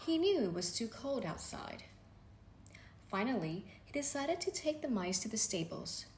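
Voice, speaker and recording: Woman narrator, lively pitch, bedtime storybook read in an echoey room with faint noise. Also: moderate pace. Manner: gentle, expressive reading with voices for characters. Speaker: storybook reader.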